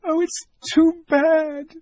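A man voicing an eager, tender-hearted young girl, pitched high with emotional, breathless cries. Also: lively intonation, slow pace, darkish tone, breathy edge, no echo, exaggerated voice. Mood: grieving.

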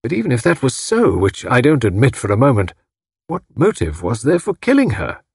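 Man as greying storybook gentleman, haggard, indignant, stubbornly loyal, formal, emphatic, dry close recording. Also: mid-range voice, huge pitch swings, a little fast, breathy edge, no echo, voice pushed up, full character voice. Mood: distressed, indignant. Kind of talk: audiobook character acting.

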